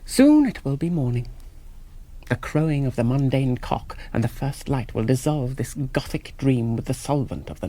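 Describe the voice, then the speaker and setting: Earnest young Englishman, radio play hero, measured romantic delivery, hushed, medium pitch. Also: slightly rough, rough recording. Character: earnest young British soldier hero on a cycling tour. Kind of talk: 1970s radio drama monologue and dialogue.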